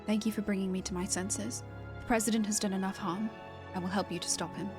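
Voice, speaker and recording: Woman voicing a brisk, solemn male warrior. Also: mid-range voice, fairly even intonation, over music, pitched below the performer's own voice, lightly acted. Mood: resolved. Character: proud male warrior, remorseful, earnest and grave. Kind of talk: amateur video game character voicing.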